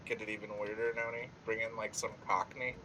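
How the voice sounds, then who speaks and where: A man chatting casually over voice chat, animated and explaining things in detail. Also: lowish voice, a little fast, noisy background.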